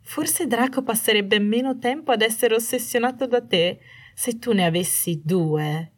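A woman's voice: on the high side, measured pace, pitched above the performer's own voice. A woman narrator voicing a bitter young wife in an audiobook story, menacing and manic with jealousy, snarling and trembling with cold fury. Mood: menacing, cruel. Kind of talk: dramatised audiobook dialogue.

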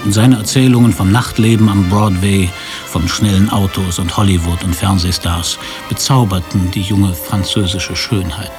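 A male radio-drama narrator with a fairly low voice. He reads scripted literary prose in a dry storytelling way, over faint music. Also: steady tone, moderate pace.

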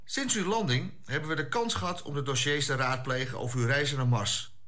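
A bright, forceful male voice of authority , acted by a man. He is a space-agency commander in a radio play, questioning people in a formal, measured, official way at a middle pitch. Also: close to an everyday voice. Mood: formal, polite. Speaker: actor in a science fiction audio drama.

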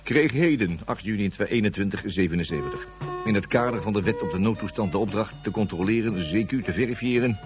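An actor playing a weary, officious police inspector in a radio drama, dictating a report in a dry, bureaucratic way with a husky voice. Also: male voice, on the low side, lively intonation, a little fast, faint music behind, lightly acted.

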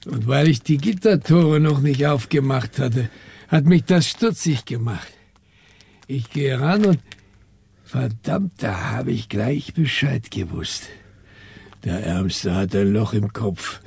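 A man acting a talkative, flustered small-town cemetery caretaker in a 1960s German radio play, excitable and often groping for words. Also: medium pitch, takes it slowly, vocal fry, husky, no echo, full character voice. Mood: nervous, chatty. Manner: garrulous, excitable, searching for words. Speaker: actor in a radio crime drama.

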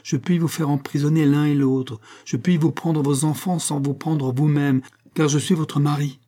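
Male reader as cruel estranged husband, mocking, sneering, audiobook drama, mellow, slightly husky. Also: mid-range voice, normal speed, natural voice. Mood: menacing. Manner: sneering, sarcastic, coldly domineering.

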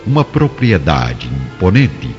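A man's voice: deep voice, huge pitch swings, slow. A man with a Brazilian accent narrating a radio soap in a solemn, dramatic, scripted style. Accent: Brazilian Portuguese. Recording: reverberant, dull lo-fi, music behind.

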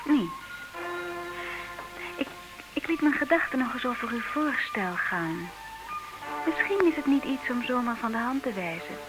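A woman's voice: a little high, animated, measured pace, pauses often, music in the background, rough recording. A woman playing a wry, independent-minded, slightly old-fashioned single lady in a vintage comic radio play, polite and dryly teasing. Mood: wistful, reflective.

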